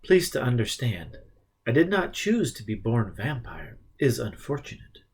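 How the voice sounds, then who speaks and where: A man's voice: medium pitch, big pitch swings, breathy edge. Man acting a pleading, shrinking Transylvanian vampire. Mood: desperate pleading. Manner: halting, apologetic broken English.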